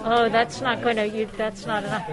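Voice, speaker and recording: A woman's voice: a little high, little pitch movement, moderate pace. A woman hosting an event, casually addressing the group in a chatty, mock-schoolteacher manner. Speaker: event host. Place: restaurant banquet room. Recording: live in a busy crowded room. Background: chattering luncheon crowd.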